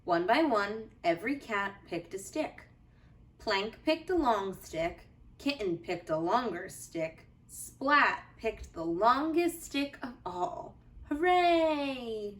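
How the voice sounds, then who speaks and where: A woman teacher reading a children's storybook aloud with deliberate, expressive phrasing for young listeners. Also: a little high, distant mic.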